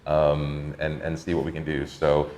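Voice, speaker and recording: A man's voice: extremely low pitch, completely flat delivery, measured pace, darkish tone. A man hosting a live stream, chatty and reading viewer comments aloud. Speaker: host. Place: indoor co-working space.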